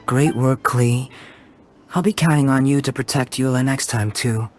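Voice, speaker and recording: A man playing a calm, reassuring young scholar in a video game, measured and courteous. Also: medium pitch, faint music behind, lightly acted. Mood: composed.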